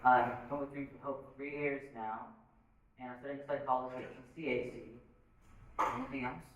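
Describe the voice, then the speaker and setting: A man in his twenties, a cast member, introducing himself in an unhurried way. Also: medium pitch, flat delivery, lo-fi sound. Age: 20s. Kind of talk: casual self-introduction. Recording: distant mic, very echoey hall, loud crowd noise.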